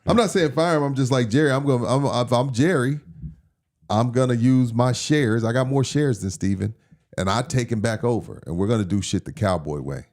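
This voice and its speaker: A man co-hosting a casual sports talk show, animated and profane, riffing in character as a team owner. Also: mid-range voice, brisk. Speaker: co-host.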